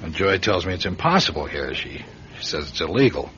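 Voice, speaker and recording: An actor playing a wry, middle-aged hard-boiled card hustler in a radio detective drama, with a dry wisecracking delivery. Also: male voice, low voice, very animated, a little fast. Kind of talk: radio detective drama, first-person narration and dialogue. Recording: dull lo-fi radio show, music behind.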